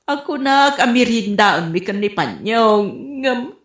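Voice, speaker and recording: A woman with a northern Philippine accent playing a lovelorn, flirtatious middle-aged auntie in a comedy radio drama, with drawn-out, whiny delivery. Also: fairly high pitch, lively intonation. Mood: wailing, heartbroken. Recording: roomy, echoing voice recording.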